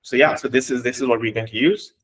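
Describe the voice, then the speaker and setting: A man from Bangladesh whose first language is Bengali, explaining code step by step in a relaxed way, with fillers and restarts. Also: mid-range voice, quick pace, breathy edge. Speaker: coding instructor. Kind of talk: walking through code live.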